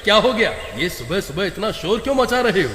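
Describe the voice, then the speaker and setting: A man's voice: sits high, sing-song, brisk, fairly bright tone, a little hiss or hum. A man playing an indignant village husband in a children's story, theatrical and exclaiming. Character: indignant, defensive village husband, boastful then distraught. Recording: echoey reverberant sound with music.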